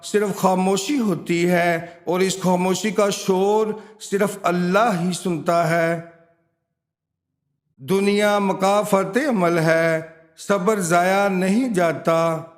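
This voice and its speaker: A man with a notably high voice narrates scripted advice. He takes his time over each phrase, speaking slowly in a measured, instructional manner. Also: steady tone. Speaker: narrator of a home remedies and quotes channel.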